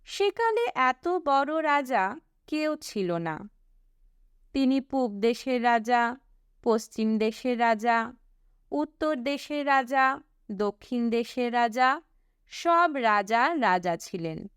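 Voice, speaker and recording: A woman reading a storybook as an audiobook narrator, with a measured, deliberate storytelling delivery. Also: fairly high pitch, expressive, clean tone, no room sound, studio quality.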